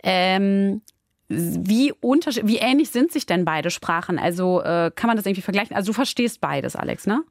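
A woman's voice: medium pitch, expressive, normal speed, no room sound, studio quality. A woman co-hosting a podcast, chatting casually with hesitant fillers and questions. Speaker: podcast co-host. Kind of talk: casual chat.

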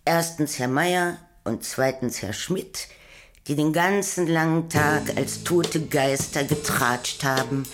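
A woman's voice: low-pitched, slow, soft background music. A woman playing a solemn narrator of a city at night, reading poetic text in a German radio play. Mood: solemn, unhurried.

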